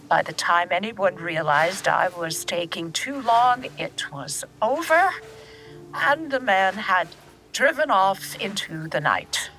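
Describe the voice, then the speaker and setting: An older woman acting in an audio drama as an elderly grandmother recalling her past, candid and steady, in a reflective, measured way. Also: on the low side, full character voice.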